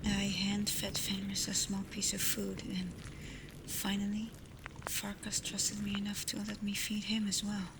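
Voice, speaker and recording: A woman voicing a caring, protective female alpha werewolf in an intimate ASMR roleplay, speaking softly, often near a whisper. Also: mid-range voice, monotone, unhurried, noisy background, natural voice. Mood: tender, wistful. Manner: soft, breathy, often near a whisper, soothing. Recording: loud storm ambience over the voice. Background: thunderstorm and rain ambience.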